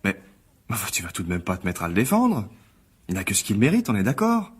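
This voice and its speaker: An actor playing a spiteful, jealous newspaper critic with an indignant manner in a French radio drama. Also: male voice, fairly low pitch, huge pitch swings, brisk, breathy edge, heavily acted. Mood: scornful. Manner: scornful, indignant.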